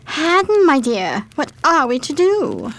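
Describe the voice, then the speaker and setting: An actress playing a chic, scheming 1920s gambling-parlor hostess, coy and full of endearments, in a radio crime drama. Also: female voice, sits high, huge pitch swings, unhurried, a bit bright, no room sound, heavily acted. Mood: coy, sociable.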